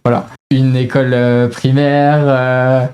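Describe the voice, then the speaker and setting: A woman's voice: a bass voice, level tone, slow pace, warm tone, soft background music. A woman in her twenties from France, talking casually as an interview guest, hesitant with many fillers and youthful slang. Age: 20s.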